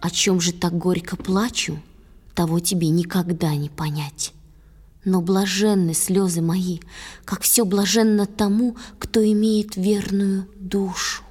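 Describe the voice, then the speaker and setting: An actress playing a gentle, sorrowful young female water spirit in an audio play, speaking tender, earnest verse. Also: mid-range voice, steady tone, a little slow, slightly rough. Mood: tender, tearful. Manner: tender, softly poetic, earnest. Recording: long reverb over music.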